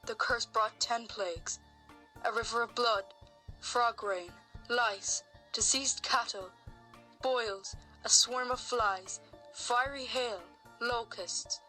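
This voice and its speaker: Woman as bright, forceful regal pony princess. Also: on the high side, low-quality recording.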